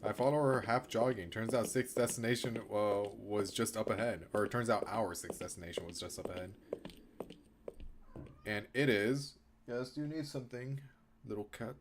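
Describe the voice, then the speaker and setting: A man's voice: lowish voice, normal speed, background noise. A man streaming a video game, reading aloud and reacting, often restarting his sentences as he thinks aloud.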